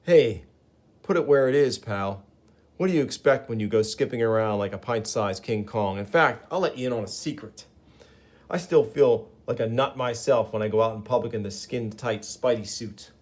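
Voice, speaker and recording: A man's voice: mid-range voice, moderate pace, close to an everyday voice. A man voicing a young male superhero who talks in breezy, sarcastic quips. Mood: wry.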